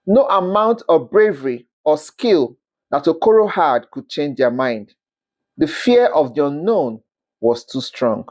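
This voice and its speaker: A storyteller narrating a scripted folktale in an expressive, dramatic manner, with the occasional stumble over words. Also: medium pitch.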